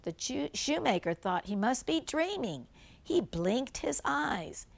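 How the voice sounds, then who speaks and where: Older female storybook reader, grandmotherly, slow delivery, animated intonation, simple asides to a young listener. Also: high-pitched, gravelly. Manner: simple explanations, gentle questions to a young listener. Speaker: grandmother reading a storybook to children. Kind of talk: read-aloud children's storybook with chatty asides.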